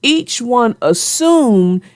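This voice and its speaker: A woman's voice: fairly high pitch, lively intonation, very slow, darkish tone, no room sound, studio quality. A woman hosting a children's story show, chatting in a folksy, playful, teasing way. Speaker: host and storybook narrator. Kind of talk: children's read-aloud and casual chat about the story.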